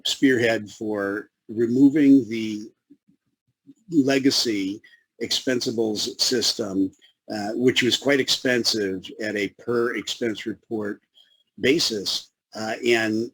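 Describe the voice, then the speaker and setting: A man with a Chicago accent talks through a consulting presentation in a lowish voice that has a radio-style quality. He hesitates often, with fillers and restarts as he goes. The sound is dry and close, with no room around it. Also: no echo, rough recording. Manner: halting, many fillers and restarts. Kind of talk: conference webinar presentation.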